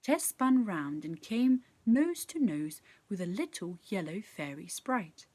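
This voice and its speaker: A woman narrating a children's story in an expressive, measured, dramatic storytelling style. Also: mid-range voice, no echo. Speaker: actor reading a story.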